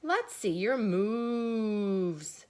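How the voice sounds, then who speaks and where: A woman voicing a boisterous, playful pig with loud whooping calls in a storybook. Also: mid-range voice, expressive, drawn-out words. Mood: excited, playful.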